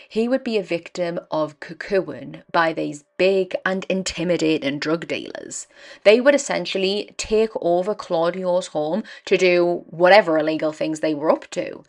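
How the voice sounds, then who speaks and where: A young woman hosting a true crime podcast, her voice croaky and dry from a cold, telling the case in an earnest, measured way. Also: lowish voice. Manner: earnest, measured storytelling with emphatic stresses. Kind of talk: scripted true crime narration.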